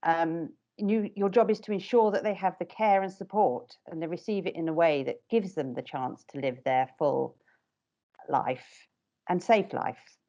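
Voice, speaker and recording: Firm, low-voiced middle-aged woman. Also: measured pace, warm tone. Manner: firm, direct and emphatic. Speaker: parent carer and panelist. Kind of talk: panel discussion on a video call. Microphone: video call. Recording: dull lo-fi call audio.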